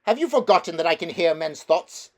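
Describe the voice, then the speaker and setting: A man's voice: on the high side, lively intonation, fairly quick, pitched above the performer's own voice, lightly acted. A man voicing an imperious, wrathful witch queen from a storybook, grand and threatening. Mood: imperious fury.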